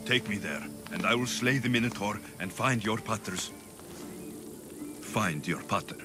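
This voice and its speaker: A man's voice: on the low side, expressive, measured pace, music in the background. A man playing a bold, wry Greek mercenary hero in a video game, with a Greek accent. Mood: resolute. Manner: bold, wry, matter-of-fact. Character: confident, dry-witted heroic male Greek mercenary. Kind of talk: video game character acting.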